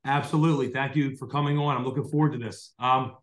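A man's voice: mid-range voice, fairly even intonation, fast, echoey room. A young man hosting a podcast interview over a video call, talking with lots of fillers and restarts. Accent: South Jersey. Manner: rambling, many fillers and restarts. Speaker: podcast host. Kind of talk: casual interview. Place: dim home room at night. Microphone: large desk mic on video call.

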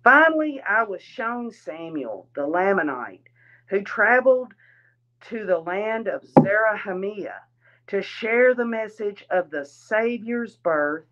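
A woman reading a written text aloud in a halting way, breaking phrases into short pieces. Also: mid-range voice, fairly bright tone. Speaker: reader of a written account. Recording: dull, lo-fi sound.

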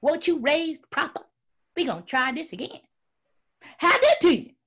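A woman's voice: high-pitched, animated, normal speed, fairly bright tone, voice pushed up. A woman voicing a sly, indignant male rabbit trickster from a folk tale, prim and huffy, then pleading.

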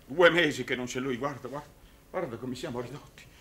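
Actor as an excitable, bitter circus clown, bright-voiced man. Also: mid-range voice, brisk, natural voice. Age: middle-aged. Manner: stammering, repetitive, theatrical. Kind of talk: 1960s Italian television drama acting. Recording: noisy old television soundtrack.

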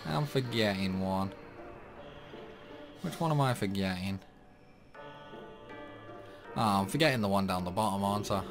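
A man casually commentating a video game playthrough, rambling and talking to himself as he plays. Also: lowish voice. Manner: rambling, talks to himself while playing. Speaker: gaming channel host.

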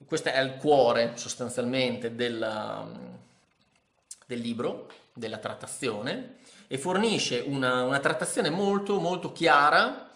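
Man, 30s, mid pitch, hesitant reviewer, roomy living room sound. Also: slow, fairly bright tone. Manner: hesitant, many fillers and restarts, thoughtful. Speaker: ski vlogger reviewing a book. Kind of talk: casual spoken book review to camera. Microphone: camera in front of face. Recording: echoey room.

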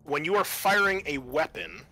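Male game master, bright forceful voice, patient casual rules talk, music underneath. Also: on the high side, big pitch swings, moderate pace, low-quality recording.

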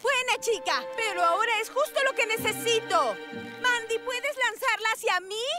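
High-voiced, capable cartoon firefighter. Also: female voice, moderate pace, loud music behind the voice. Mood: encouraging. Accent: Latin American Spanish. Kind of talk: cartoon dub acting.

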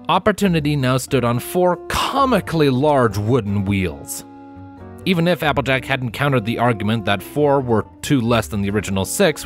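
A man reads scripted fiction as narrator, with lively, wide-ranging intonation. Music plays softly behind him. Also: mid-range voice.

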